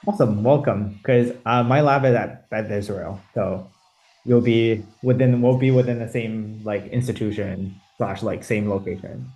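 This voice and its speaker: A man's voice: fairly low pitch, fairly even intonation, normal speed, darkish tone. A man hosting and moderating an online panel, asking rambling questions full of fillers on a video call. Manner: rambling with many fillers and restarts. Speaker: host and moderator.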